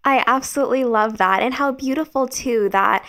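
A woman's voice: high-pitched, moderate pace, fairly bright tone. A woman hosting a podcast interview and responding in an affirming way. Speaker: podcast host.

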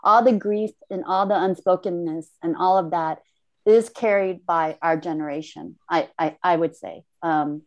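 A woman born in Korea, speaking in a reflective conversation with thoughtful, halting phrasing and frequent restarts. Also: on the low side, rough recording.

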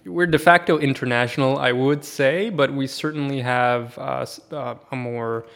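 A man raised in Sweden talks casually as a podcast guest, with a gravelly edge to his mid-pitched voice. He rambles, stops and restarts, and fills the gaps with plenty of "uh" and "you know". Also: normal speed. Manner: relaxed, rambling with many fillers and restarts.

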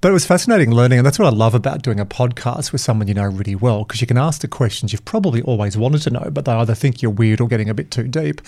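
Male podcast host, mid-pitched voice, upbeat and conversational interviewer. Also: expressive, racing, gravelly, few pauses, darkish tone.

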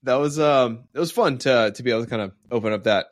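A man's voice: mid-range voice, fast talker, no room sound. A man co-hosting a dads' podcast, chatting casually and moving the talk along to the next topic. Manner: relaxed, conversational, steers the topics. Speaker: podcast co-host. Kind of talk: casual chat.

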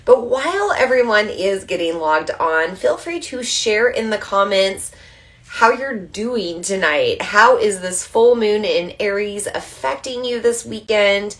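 A woman hosting a live online moon circle, slightly stuffy from allergies, chatting casually and gently guiding breathing. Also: mid-range voice, animated, measured pace. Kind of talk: casual livestream with guided breathing. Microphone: livestream camera mic.

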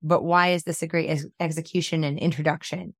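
A woman's voice: sits low, little pitch movement, fairly quick, no room sound, studio quality. A woman in her thirties hosting a podcast, upbeat and enthusiastic, her voice a little scratchy from a cold. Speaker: podcast host, book coach. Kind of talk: podcast intro and casual chat.

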